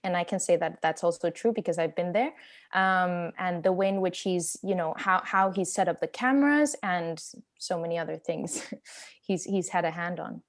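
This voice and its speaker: Woman interpreting haltingly at a Q&A. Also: on the low side. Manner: hesitant, halting while translating. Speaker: actress and interpreter. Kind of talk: unscripted post-show Q&A, interpreting for others.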